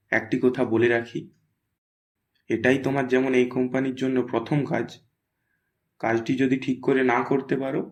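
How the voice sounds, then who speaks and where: A man voices a stern male company boss in a horror cartoon. His mid-pitched voice is restrained and measured, and he sounds authoritative and businesslike. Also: close to an everyday voice. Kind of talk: Bengali horror cartoon voice acting.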